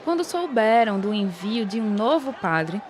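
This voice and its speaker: A woman's voice: medium pitch, sing-song, unhurried, a little hiss or hum. A Brazilian woman reading a scripted documentary narration as the narrator. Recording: music behind the voice. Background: background music.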